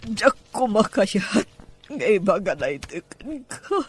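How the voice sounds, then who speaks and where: A woman's voice: mid-range voice, very animated, takes it slowly. A woman with an Ilocano Filipino accent playing an anguished, devout mother pleading melodramatically in a podcast drama.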